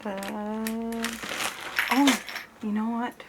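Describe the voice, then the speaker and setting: A woman's voice: a little high, steady tone, very slow, heavy background noise. A woman doing a one-on-one ASMR role-play as an examiner, hesitant and muttering to herself, drifting between normal voice and whispery murmur.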